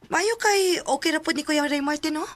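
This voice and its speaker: A woman playing an affectionate, trusting young student and younger sister in a radio drama, with gentle, expressive delivery. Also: high-pitched, moderate pace, no room sound, natural voice. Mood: curious, friendly.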